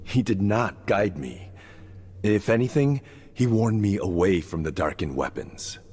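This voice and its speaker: An actor playing a solemn, steadfast warrior seneschal who speaks in a grave, earnest, dramatic game style. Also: male voice, on the low side, lively intonation, measured pace, darkish tone, slight background noise. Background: music.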